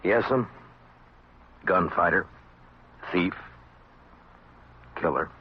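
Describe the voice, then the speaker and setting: An actor playing a stern, laconic frontier marshal with an American accent, terse and steady, in an old radio western. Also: male voice, low voice, drawn-out words, slight background noise. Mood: calm, grim. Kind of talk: old-time radio western acting and first-person narration. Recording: muffled old radio broadcast.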